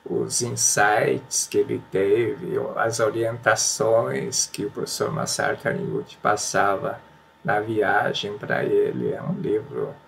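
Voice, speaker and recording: Gravelly-voiced man presenting spiritual books. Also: fairly low pitch, takes it slowly, a bit bright, slight background noise, poor audio. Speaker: religious book presenter. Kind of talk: casual spoken book commentary and reading aloud.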